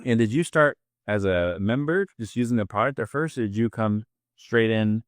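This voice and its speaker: A man's voice: on the low side, normal speed, no echo, clean studio sound. A man hosting an interview, asking questions in an upbeat, encouraging way. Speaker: interviewer, host.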